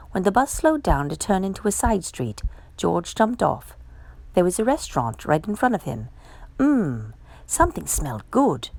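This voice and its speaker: A woman reading a children's picture book aloud as a storyteller, with expressive, measured delivery. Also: on the low side, vocal fry, no room sound. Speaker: storytime reader.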